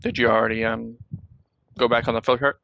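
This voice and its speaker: A man streaming a video game, giving casual, offhand commentary in a halting way. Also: medium pitch, little pitch movement, vocal fry, highs cut off, slight background noise.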